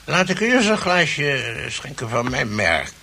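A man's voice: mid-range voice, big pitch swings, a little slow, vocal fry, bright, forceful tone, exaggerated voice. A man playing a tipsy, sly notary in an old Dutch radio comedy, muddled and repeating himself, with a Dutch accent. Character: tipsy notary, sly rival suitor, amiably stubborn. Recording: old hissy radio recording.

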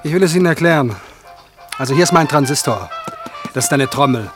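Man playing an eager high-voiced young drummer. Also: animated, fairly quick, music in the background, natural voice. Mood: cheeky, eager. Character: eager young would-be jazz drummer, cheeky and boastful. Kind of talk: German radio play acting.

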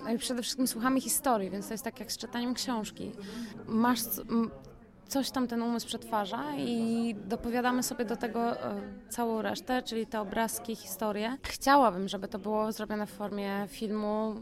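A woman's voice: fairly high pitch, fairly even intonation, moderate pace, a crowd in the background. A woman giving a casual interview as an event guest, effusive, with hesitant phrasing.

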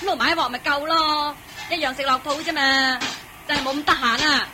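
An actress playing an old, bossy, penny-pinching female housekeeper in a radio drama, scolding and sharp-tongued. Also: sits high, fairly even intonation, a little fast, music in the background, natural voice. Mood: irritable. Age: older.

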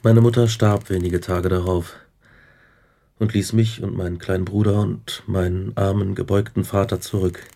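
An actor playing an earnest, brooding young scientist who recounts his tragic life in measured radio drama narration. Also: flat delivery, mellow. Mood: reflective, sombre. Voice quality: low, creaky.

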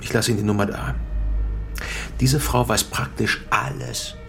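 An actor playing a composed, conciliatory male mayoral candidate with a measured, businesslike manner in a naturalistic radio crime drama. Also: mid-range voice, fairly even intonation, creaky, fairly bright tone, slight background noise. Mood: businesslike, reassuring. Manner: matter-of-fact, measured, businesslike.

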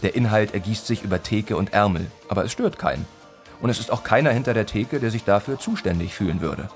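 A man narrating a literary radio play about village life in a sombre, measured, deliberate way. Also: fairly low pitch, lively intonation, soft background music, lightly acted. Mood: dry, detached.

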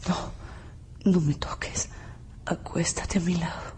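Radio drama role: ghostly, aloof lover, exhausted and languid, low breathy half-whisper, very slow. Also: female voice, little pitch movement. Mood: weary, hushed. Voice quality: breathy, hushed. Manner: weary, languid. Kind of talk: intimate radio drama acting.

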